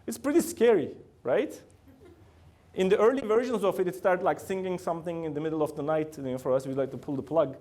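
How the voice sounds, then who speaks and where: A man's voice: fairly high pitch, fairly quick, a little mellow. A middle-aged man with a Turkish accent giving a lecture to students in a university room, often asking the audience questions. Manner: asks the room frequent questions, loose grammar. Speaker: guest lecturer.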